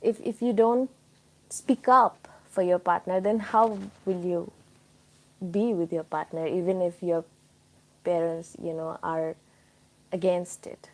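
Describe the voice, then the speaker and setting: A woman's voice: fairly low pitch, steady tone, slow, long pauses, darkish tone, low-quality recording. A woman from Tripura with an Indian accent, hosting a casual live chat and explaining things informally to her viewers. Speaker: live stream host.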